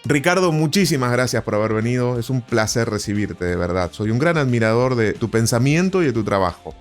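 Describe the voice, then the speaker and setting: A man's voice: medium pitch, lively intonation, fairly quick. Argentine man hosting an interview over music. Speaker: podcast host and interviewer. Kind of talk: conversational interview. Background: music bed.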